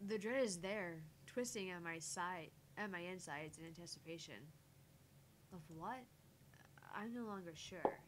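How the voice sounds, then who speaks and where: A woman streamer reading a visual novel aloud as theatrical first-person narration, with deliberate phrasing. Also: on the low side. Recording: loud background noise, electrical hum, clicks.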